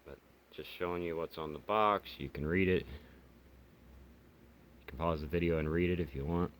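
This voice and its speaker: A man's voice: low-pitched, moderate pace. A man giving a casual, unscripted hobbyist product demonstration, talking in a hesitant, rambling way. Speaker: hobbyist video host reviewing radios. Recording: clear background noise.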